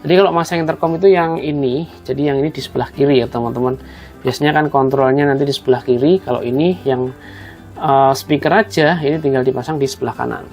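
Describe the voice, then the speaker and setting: A man with a gravelly, creaky voice hosts a casual tutorial over background music. His pitch sits in the middle range. He talks conversationally through each hands-on step, often addressing viewers as friends. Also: fast, a little mellow. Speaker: host of a tutorial video.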